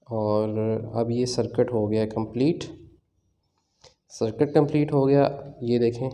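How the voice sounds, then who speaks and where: Man, Pakistani Urdu accent, DIY tech tutorial, relaxed dark tone, casual with fillers, working at a table. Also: medium pitch. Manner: casual explanatory, many fillers, describes while working. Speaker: tech channel host.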